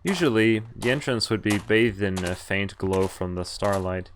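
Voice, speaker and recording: A man's voice: fairly low pitch, normal speed, a little hiss or hum. A man streaming a game, reading its story text aloud casually, stumbling over the odd word and breaking off to comment. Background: game music and sound effects.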